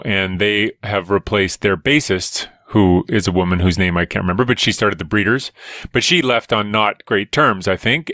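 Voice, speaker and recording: A man's voice: fairly low pitch, no room sound, clean studio sound. Chatty man rambling in a podcast chat. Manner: animated, rambling, restarts his sentences. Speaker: guest, evolutionary biologist. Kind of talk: casual conversation.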